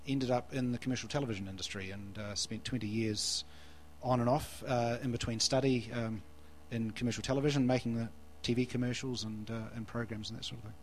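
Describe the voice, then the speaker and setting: A man's voice: mid-range voice, fairly even intonation, a little fast, few pauses, slight background noise. A New Zealand man in his forties, a guest preacher in church, who talks in a hesitant, self-deprecating way and often restarts his phrases. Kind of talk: church interview and sermon.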